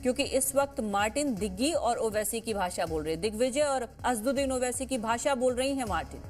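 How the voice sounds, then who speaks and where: A woman anchoring television news, restating her points with emphasis as she reports. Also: a little high.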